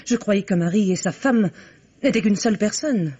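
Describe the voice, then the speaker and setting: An actress playing a domineering, indignant middle-aged wife in a French radio mystery play, with an imperious manner. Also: female voice, mid-range voice, normal speed, mellow, husky, natural voice. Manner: imperious, outraged, talks over others. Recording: muffled old French radio recording.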